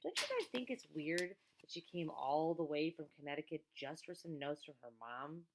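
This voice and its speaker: A woman voicing a curious, sharp-eyed young girl with an inquisitive manner in a children's audiobook. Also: low voice, sing-song, normal speed, noisy background. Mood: puzzled.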